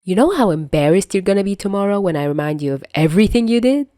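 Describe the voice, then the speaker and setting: A fondly exasperated fatherly man read by a woman, teasing yet protective and soothing. The voice is mellow and dry, up close, as in an audiobook drama. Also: mid-range voice, expressive, fast talker, no room sound, lightly acted.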